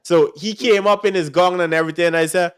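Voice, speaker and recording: A man with a Guyanese accent, hosting a casual music industry chat and telling animated stories with frequent laughs. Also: high voice, fast talker, smooth. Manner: animated storyteller, laughs often. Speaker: podcast host.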